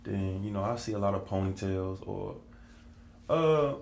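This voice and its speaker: A man's voice: low-pitched, measured pace, gravelly, darkish tone, roomy sound, a little hiss or hum. A man co-hosting a podcast, talking in an easygoing way in loose, run-on phrases. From United States. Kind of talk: casual conversation.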